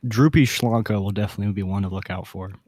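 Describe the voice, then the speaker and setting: A man's voice: fairly low pitch, quick pace, darkish tone, no echo. A man chatting casually as a podcast co-host, informal and given to joking. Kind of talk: casual chat.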